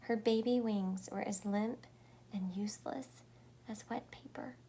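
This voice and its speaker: A woman reading a picture book aloud to children, expressive, with deliberate phrasing, dramatic pauses and small explanatory asides. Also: mid-range voice, slight background noise.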